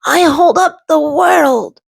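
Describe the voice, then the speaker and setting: A woman voicing an eager, restless young male rock in a children's storybook, dramatic and excitable. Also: high voice, takes it slowly, creaky, no room sound, pitched above the performer's own voice, heavily acted. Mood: determined. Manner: dramatic, wide-eyed and excitable. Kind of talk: children's storybook read-aloud.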